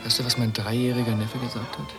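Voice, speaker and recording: A skeptical man played by an actor in a radio play, with a medium-pitched voice and music behind it. He is measured and ironic, needling with his questions. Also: level tone, lightly acted. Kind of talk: radio drama dialogue.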